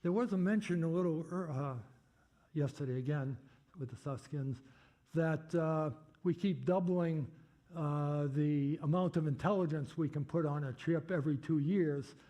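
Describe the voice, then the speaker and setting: An older man with a Boston accent, answering audience questions at a lecture in a halting way with many fillers. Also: a little high, dark tone. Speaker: guest speaker.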